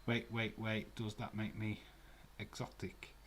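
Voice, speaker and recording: A man's voice: lowish voice, completely flat delivery, slow, a little hiss or hum. A man with a Glasgow accent hosting a live watch-along stream from a room at home, chatting casually with viewers in a rambling way with many fillers. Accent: Glaswegian. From Scotland.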